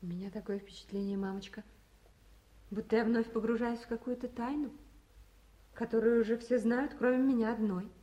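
An actress playing a romantic, impulsive young daughter, earnest and lyrical, in 1950s Soviet radio play style. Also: female voice, fairly high pitch, fairly even intonation, fairly quick, warm tone, close to an everyday voice. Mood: wary, subdued. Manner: girlish, lyrical, theatrical.

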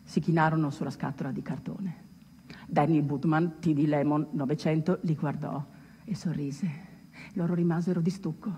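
A woman actor delivering a theatrical storytelling monologue, phrasing deliberately and dramatically with pauses. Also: low-pitched, fairly even intonation, mellow, husky, dull audio. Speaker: stage actress narrator. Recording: echoey reverberant hall, music underneath. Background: music.

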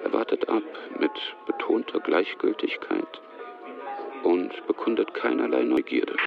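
Very deep, gravelly male narrator. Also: expressive, unhurried, muffled recording, music in the background. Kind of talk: scripted narration of a radio drama.

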